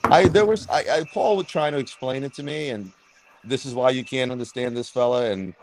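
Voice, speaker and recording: A man's voice: mid-range voice, fairly quick, noisy background. A man, a guest on a video call, telling a story and acting out the people in it. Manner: animated, acting out quotes. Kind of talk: casual storytelling.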